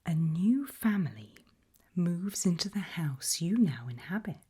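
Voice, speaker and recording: A British woman whispering an ASMR roleplay in a breathy voice, putting slow, deliberate questions with long pauses. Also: fairly low pitch, big pitch swings, mellow.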